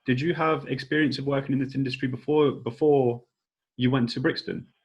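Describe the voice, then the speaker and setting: A man's voice: medium pitch, fairly even intonation, brisk, husky, muffled recording. A man hosting a discussion in a casual, conversational way, feeling his way informally through questions. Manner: informal, tentative, peppered with "like". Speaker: discussion host.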